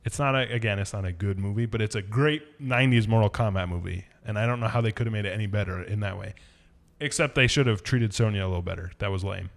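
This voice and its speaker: A man's voice: on the low side, fast, gravelly. A man co-hosting a podcast, giving his opinions on movies in an emphatic, informal and casually sweary chat style. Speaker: podcast co-host, movie reviewer.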